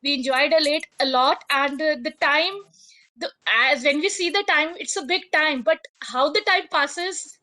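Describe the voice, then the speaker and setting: Bright, high-voiced teacher on a call. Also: female voice, moderate pace, rough recording. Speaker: school teacher. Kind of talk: workshop feedback. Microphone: video call.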